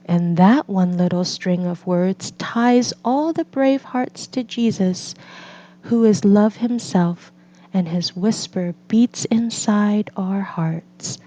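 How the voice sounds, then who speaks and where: A woman reading a picture book aloud as a storyteller, in gentle, deliberate phrases with drawn-out pauses. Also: medium pitch. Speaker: read-aloud storyteller for children and English learners. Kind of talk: slow scripted picture-book narration. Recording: slightly dull, lo-fi recording.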